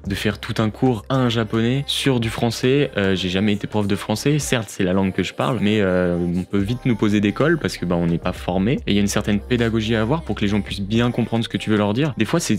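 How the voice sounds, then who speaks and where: A young Frenchman in his twenties talks straight to camera in a relaxed vlog, rattling along at a fast clip. His voice sits on the low side, and he keeps things chatty and animated with quick asides. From France. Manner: chatty, animated asides, jumps into higher voice for quoted bits. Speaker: vlogger. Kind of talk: casual vlog talk to camera.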